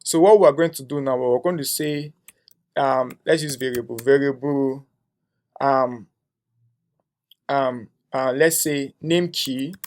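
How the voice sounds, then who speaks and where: A man's voice: medium pitch, slow pace, pauses often, a little mellow. A young man teaching a coding tutorial, thinking aloud as he types, with frequent "um"s and a tag "right?". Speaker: coding instructor. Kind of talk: screen-share coding tutorial.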